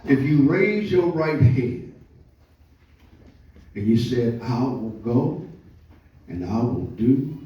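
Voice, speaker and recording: An older man with an American accent giving an oratorical public speech, with deliberate pauses and emphasis. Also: medium pitch, expressive, mellow. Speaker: speaker addressing a live audience. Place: large echoey room, speaking before an audience. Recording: echoey hall, distant muffled mic.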